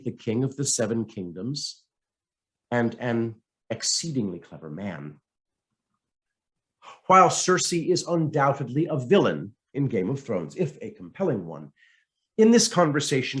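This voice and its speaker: Male narrator, scripted essay reading, swooping expressive pitch, theatrical emphasis, deliberate pauses. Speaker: reader of a political essay.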